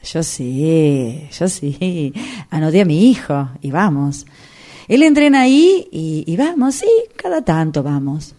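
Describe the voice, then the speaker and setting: Self-possessed Argentine woman female actor for radio drama, slow and deliberate, highly expressive intonation. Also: full character voice. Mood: friendly, nostalgic. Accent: Argentine, Rioplatense. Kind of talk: radio drama workshop acting.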